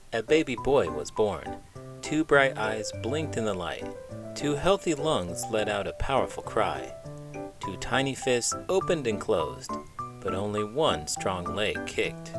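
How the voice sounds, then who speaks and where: A man reading aloud to children as a host, with dramatic, emphatic storytelling delivery. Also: on the low side. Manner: dramatic, emphatic phrasing with big emotions. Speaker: children's book reader and host, elementary school teacher.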